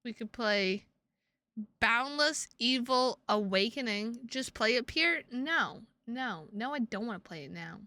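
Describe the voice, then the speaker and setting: A woman live streaming games, chatting casually with viewers in an excitable, sweary way and repeating her phrases for emphasis. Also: on the high side, measured pace, no echo. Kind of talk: casual livestream chat with viewers.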